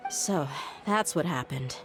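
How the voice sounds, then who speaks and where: A woman's voice: low-pitched, animated, takes it slowly, heavily acted. An actress playing a tough young woman mercenary who talks bluntly with guarded frustration, over faint music. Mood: questioning. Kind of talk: video game voice acting. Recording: game audio with faint music.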